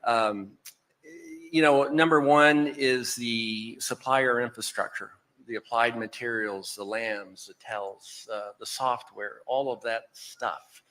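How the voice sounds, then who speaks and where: A man with a Texan accent answers on a conference panel. His voice is bright and forceful at a middle pitch. He talks informally and sets out his points in order. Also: fairly even intonation, takes it slowly, poor audio. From Texas, United States. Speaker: panelist, semiconductor industry executive. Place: live conference stage.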